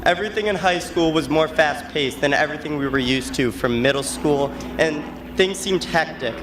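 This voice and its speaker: A man's voice: a little high, noisy background. A young man, giving a prepared graduation speech as a student. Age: late teens.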